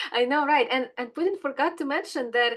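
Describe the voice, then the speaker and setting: Woman guest, animated. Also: sits high. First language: Ukrainian. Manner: animated, searching for words. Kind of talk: casual interview talk.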